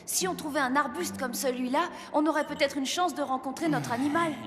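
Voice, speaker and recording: A woman voicing a cheerful, earnest young boy, an apprentice Santa in a French animated series dub. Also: high voice, fairly quick, in a large hall, crowd noise, natural voice. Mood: curious, determined. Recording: music behind the voice.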